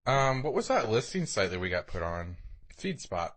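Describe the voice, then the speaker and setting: A man's voice: on the low side, very animated, moderate pace, fairly bright tone. A man co-hosting a podcast, telling stories in a casual, sarcastic way and swearing freely. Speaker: podcast co-host. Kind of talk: casual chat, anecdotes.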